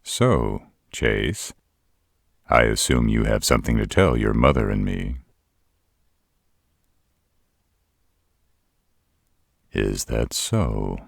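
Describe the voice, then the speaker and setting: A man playing a gruff, protective older father in a roleplay audio, with a gravelly voice and a slow, deadpan, measured delivery. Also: no room sound, studio quality, exaggerated voice. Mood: warm, probing. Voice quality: deep, gravelly. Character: gruff old father of a werebear's mate, protective, dryly stern.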